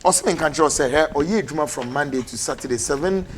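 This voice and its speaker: Ghanaian male talk-show host, brisk announcer delivery, TV studio, light background hiss. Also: on the high side. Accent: Ghanaian English. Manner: switches between English and Twi, brisk and announcing. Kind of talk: TV political talk show, closing with ads.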